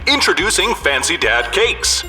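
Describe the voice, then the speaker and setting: A man's voice: sits high, sing-song, measured pace, over music. A man playing an old-fashioned, salesy announcer in a parody radio advertisement. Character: smooth-talking old-fashioned commercial announcer.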